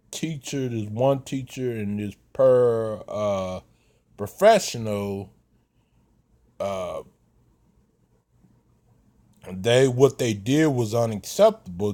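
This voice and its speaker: Man, 30s, vlogger talking slow with lots of "uh"s, lowish voice. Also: a little mellow. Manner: slow, halting, many fillers and restarts. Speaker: vlogger giving commentary. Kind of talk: casual commentary.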